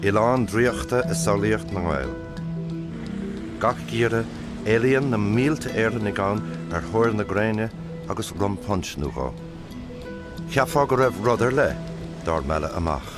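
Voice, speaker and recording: A man with an Irish accent reading scripted documentary narration in a measured, solemn way. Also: on the low side, animated. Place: voice-over studio. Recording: voice-over with loud music bed.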